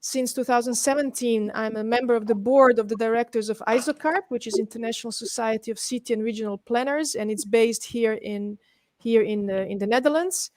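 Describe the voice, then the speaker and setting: A middle-aged woman with a Serbian accent presents to an online webinar. Her voice sits a little high, and the sound is very dry with no room around it. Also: a little fast, a little mellow, dry recording. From Belgrade, Serbia. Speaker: guest presenter, urban planner. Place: indoors, on an online webinar call.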